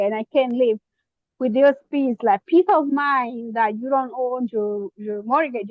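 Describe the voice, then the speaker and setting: A woman from Mexico with a Mexican Spanish accent, a real estate investor talking casually on a video call, often pausing and searching for words. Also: high-pitched, no echo, muffled recording. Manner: halting, searching for words, many fillers. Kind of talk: casual online meeting chat.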